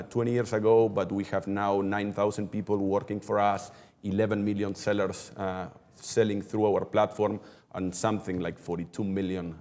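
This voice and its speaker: A middle-aged man, a logistics executive giving steady, explanatory answers in an interview, repeating words as he thinks. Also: low voice. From Argentina. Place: company headquarters office.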